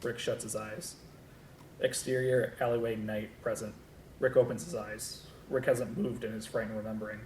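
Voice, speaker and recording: A man's voice: level tone, normal speed, darkish tone. A man reading scripted stage directions aloud as narrator at a table read. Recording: echoey room with low rumble.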